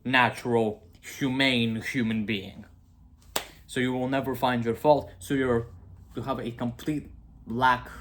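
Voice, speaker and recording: A young man with a Romanian accent, talking casually to camera about philosophy, rambling and self-mocking with frequent asides. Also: medium pitch. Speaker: video creator.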